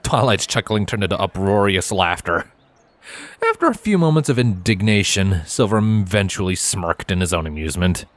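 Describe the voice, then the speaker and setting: Low-voiced man narrating a story. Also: expressive, normal speed, no room sound.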